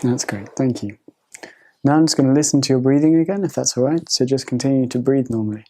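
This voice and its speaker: A woman doctor examining a patient, giving soft, polite, reassuring instructions. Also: a bass voice, quick pace. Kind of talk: gentle clinical instructions.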